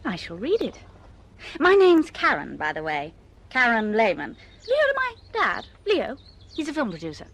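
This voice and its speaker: Flippant, wildly animated young socialite. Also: female voice, on the high side, moderate pace, heavily acted. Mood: breezy, self-mocking. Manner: self-mocking, theatrical, teasing. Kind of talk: radio drama acting.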